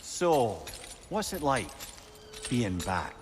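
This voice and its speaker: An actor playing a talkative, clever old companion in a video game, with an inquisitive, excitable manner. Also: male voice, medium pitch, very slow, noisy background. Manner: chatty, inquisitive, animated. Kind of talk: dramatic video game acting.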